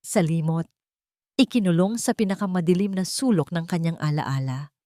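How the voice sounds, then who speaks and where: A woman with a Filipino accent reading scripted, dramatic love-story narration in a measured storytelling manner. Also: on the low side, mellow, dry recording, clean studio sound.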